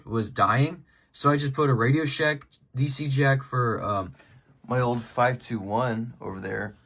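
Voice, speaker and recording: A man's voice: medium pitch, fairly bright tone. A man giving a casual, rambling show-and-tell as a collector, in an informal and opinionated way. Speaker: collector presenting a weather radio collection.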